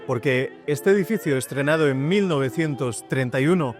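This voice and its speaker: A man narrates a documentary in a calm, measured voice. His pitch sits in an ordinary middle range. Music plays clearly behind him. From Spain. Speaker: author presenting his book. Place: outdoors in city streets.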